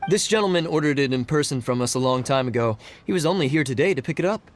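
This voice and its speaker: Actor as high-voiced, animated male shopkeeper. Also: fast, a little hiss or hum, close to an everyday voice. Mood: helpful. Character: polite, defensive male shopkeeper. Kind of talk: video game voice acting. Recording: music playing underneath.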